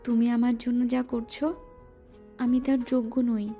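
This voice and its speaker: A woman's voice: on the high side, flat delivery, normal speed, mellow, close to an everyday voice. A female voice actor playing a shy, playful young wife who scolds her husband coyly in an audio drama. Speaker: voice actress. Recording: music bed underneath.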